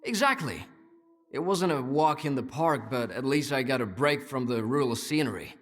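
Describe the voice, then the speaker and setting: An actor playing a wry, chatty stalker scout in a video game, breezy and joking in manner. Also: male voice, medium pitch, lively intonation, moderate pace, fairly bright tone, close to an everyday voice. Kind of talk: video game dramatic acting.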